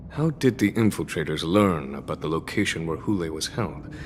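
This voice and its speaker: Calm commanding general, lowish voice, long reverb, music behind. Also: male voice, a little hiss or hum. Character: calm, composed male general in his prime. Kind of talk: game cutscene voice acting.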